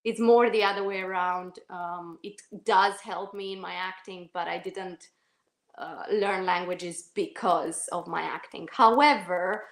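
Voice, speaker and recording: A woman's voice: lowish voice, fairly even intonation, unhurried, vocal fry. A woman from Romania, an actress answering interview questions in a thoughtful, hesitant way with frequent restarts. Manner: thoughtful, self-correcting, many fillers and restarts. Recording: echoey room.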